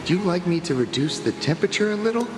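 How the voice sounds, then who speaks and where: A deferential male attendant in a video game. He is formal and courteous, his pitch moves a lot, and loud music swells behind his echoing words. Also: animated, fast talker. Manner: formal, obsequious. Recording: echoey hall reverb, loud music.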